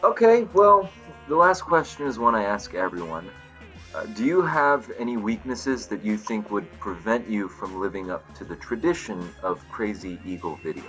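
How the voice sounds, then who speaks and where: A man plays a young store manager in a drama table read. He sounds casual and blunt, rambling a little, in a fairly low voice over faint music. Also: expressive, normal speed, fairly bright tone, low-quality recording. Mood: blunt, puzzled. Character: Seth, blunt young video store manager, twenty-five. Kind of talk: table read acting of a TV pilot script.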